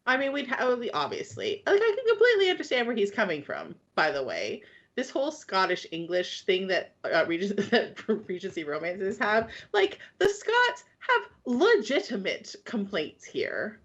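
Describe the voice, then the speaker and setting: Lively joking woman co-host, swinging intonation, muffled lo-fi audio. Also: medium pitch, normal speed. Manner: animated, jokey, swears lightly, stretches words for effect. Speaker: podcast co-host. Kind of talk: casual chat. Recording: slightly dull lo-fi sound.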